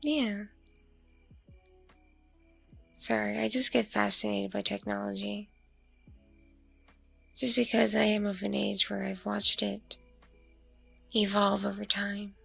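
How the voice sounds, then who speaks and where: A woman playing a lonely, coy vampire mother in an ASMR roleplay, musing slowly in a husky, breathy, creaky voice with soft hums. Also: medium pitch, flat delivery, no room sound, soft background music, full character voice. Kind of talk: ASMR audio roleplay, intimate one-sided conversation.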